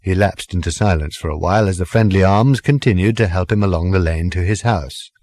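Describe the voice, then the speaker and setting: A man reading comic fiction as a measured, expressive audiobook narrator. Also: low voice, no echo. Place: dry studio.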